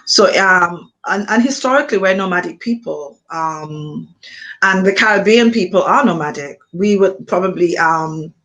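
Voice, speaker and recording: Reflective Montserratian woman, many pauses. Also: on the low side, fairly bright tone, poor audio. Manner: thoughtful, pauses with frequent ums. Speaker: author, interview guest. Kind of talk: reflective conversation.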